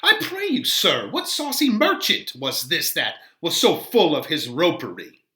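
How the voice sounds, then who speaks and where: Man putting on an indignant, high-swooping old nurse. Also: wildly swooping pitch, measured pace, pushed and bright, heavily acted. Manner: flustered, huffy, emphatic. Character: indignant, comical old woman, a chattering nurse. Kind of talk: dramatic Shakespeare reading.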